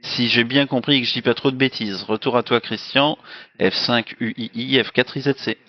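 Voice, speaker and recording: A man's voice: mid-range voice, steady tone, normal speed. A man chatting casually as an amateur radio operator, hesitantly feeling for his words. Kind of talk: casual unscripted radio contact. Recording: dull, band-limited audio.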